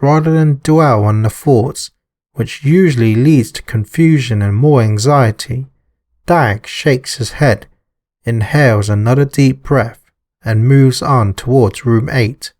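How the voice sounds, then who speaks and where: A man's voice: medium pitch, measured pace, a little mellow. A man reading scripted story narration for a visual novel, with music behind him. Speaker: narrator and author.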